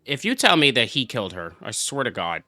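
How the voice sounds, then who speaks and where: Brash, animated young man, bright voice. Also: medium pitch, fairly quick. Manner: brash, heavily profane, exclamatory. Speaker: game streamer. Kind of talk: casual live reaction commentary. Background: game music.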